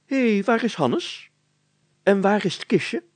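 A man's voice: high voice, expressive, slow pace, dry recording, voice pushed up. A man voicing a fussy, self-important older village mayor in a storybook, insistent and exclamatory. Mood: eager, demanding.

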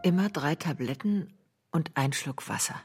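An actress playing a frail, resigned, calm mother in a radio drama, husky-voiced. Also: low-pitched, moderate pace, loud music behind the voice, lightly acted.

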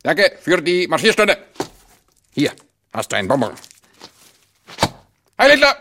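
An actress playing a brash, cheeky, ignorant local who teases and mocks playfully in a drama. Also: female voice, on the low side, huge pitch swings, measured pace, fairly bright tone, slight background noise, full character voice. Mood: cheery, loud. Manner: boisterous, teasing. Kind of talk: stage-style drama acting.

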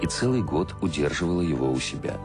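A man with an extremely deep voice reads like an encyclopedia, formal and scripted, as a radio drama announcer. Music plays clearly behind his words. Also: lively intonation, quick pace. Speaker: announcer reading dictionary entries in a radio play.

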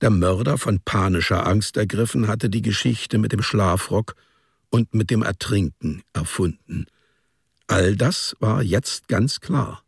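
A man's voice: lowish voice, unhurried, vocal fry, husky, no room sound, studio quality. A man reading literary narration as the storyteller of a radio drama.

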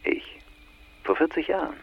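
An actor playing an older, solemn philosopher in a radio play, speaking in a breathy, hushed and deliberate way. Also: male voice, natural voice, low-quality recording. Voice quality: breathy, husky. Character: older male mystic philosopher, searching and solemn. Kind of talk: radio play, poetic dialogue.

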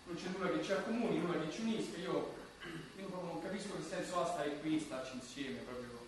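A woman's voice: low-pitched, a little fast, lightly acted. A woman playing an insistent, bitter, disillusioned character in a theatrical comic drama, recorded at a distance with strong echo. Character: insistent, bitter, disillusioned companion trapped in limbo. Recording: very echoey, distant recording, faint noise.

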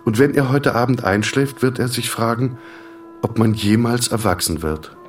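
An actor playing a sober, detached storyteller, narrating a literary radio drama in a measured manner. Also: male voice, medium pitch, faint music behind, natural voice.

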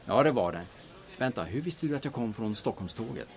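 A man's voice: mid-range voice, expressive, natural voice. A man playing a shifty, scheming auction agent in an audio drama, speaking in a hesitant, colloquial way. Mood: hesitant, wary. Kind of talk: audio drama acting with muttered inner monologue.

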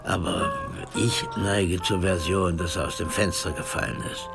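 Gravelly, low-voiced veteran jazzman. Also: steady tone, normal speed, over loud music. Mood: reflective. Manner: wry, casual, slangy. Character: easygoing veteran male jazz musician, long settled abroad. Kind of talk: radio drama dialogue.

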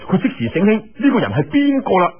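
A man with a Cantonese accent telling a tale in a colloquial, expressive, dramatic storyteller's style. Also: high-pitched, fast, husky, narrow, tinny audio. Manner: colloquial, expressive, with trailing sentence particles. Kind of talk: traditional dramatic storytelling narration.

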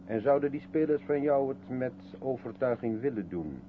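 An actor playing a sceptical, probing South African man with dry irony and measured questioning, in a 1970s Dutch radio drama. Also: mid-range voice, expressive, close to an everyday voice. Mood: sceptical, pointed. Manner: questioning, dryly ironic, measured. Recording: muffled, noisy 1970s radio recording.

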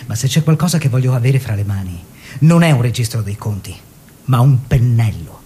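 An actor playing an eager young bourgeois painter in a theatrical monologue, expressive and savouring short phrases. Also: male voice, medium pitch, fairly quick, slight background noise, natural voice. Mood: proud, confiding.

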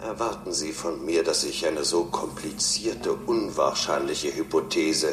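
A man playing a coolly logical, self-assured robot in a radio drama, speaking in formal, measured, pedantic phrases. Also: very deep voice, roomy sound, noisy background. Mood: dismissive, resolute. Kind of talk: German radio drama acting.

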